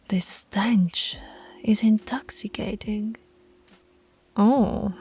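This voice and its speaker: Female actor as werewolf pack leader for audio roleplay: dominant woman, unhurried, breathy and husky with creak, stern but affectionate, taunts in low murmurs. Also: dark tone, exaggerated voice. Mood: teasing, seductive. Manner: slow, commanding, teasing, often dropping to breathy murmurs. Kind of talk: intimate roleplay audio acting.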